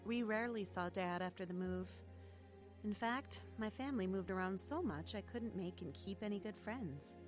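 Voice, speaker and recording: A woman's voice: mid-range voice, moderate pace, close to an everyday voice. Radio drama: adult actress as cynical, lonely teen girl, confessional and plain-spoken, music under the voice. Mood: downcast. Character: troubled, lonely, cynical teenage girl telling her story. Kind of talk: audio drama acting and first-person narration.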